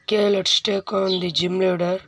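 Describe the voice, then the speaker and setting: A woman hosting a let's play with casual, informal gameplay commentary, halting and often repeating phrases. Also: medium pitch, flat delivery, a bit bright.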